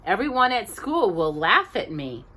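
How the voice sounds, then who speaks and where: A woman's voice: medium pitch, very animated, unhurried, pushed and bright, exaggerated voice. A woman voicing an eager, boastful young boy locomotive in a children's storybook read-aloud. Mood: determined.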